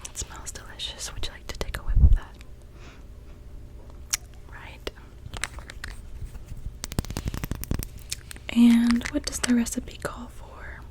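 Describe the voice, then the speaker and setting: A young woman playing a kindly mermaid witch in a whispered ASMR roleplay, gently attending to a customer as she brews potions. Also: a little high, monotone, unhurried, pauses often, natural voice, low-quality recording. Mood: softly pleased. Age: young adult.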